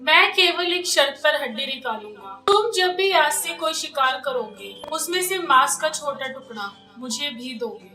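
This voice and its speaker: A woman voicing a feisty, bargaining male heron in an animated children's fable. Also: high voice, a little slow, few pauses, faint music behind, heavily acted. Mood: firm, bargaining. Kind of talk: children's cartoon voice acting. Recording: distant, echoey voice recording.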